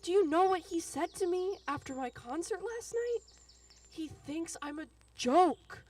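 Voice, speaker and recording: An actress playing a hurt, angry daughter in an audio drama, with an emotional, halting delivery. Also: female voice, very high voice, animated, darkish tone. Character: wounded, angry daughter, from sick child to young woman.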